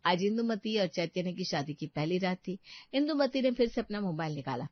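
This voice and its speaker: A woman's voice: lowish voice, lively intonation, a little fast, dry recording. A woman narrates a moral story from a script. Her recording is very dry, with a slightly dull top end.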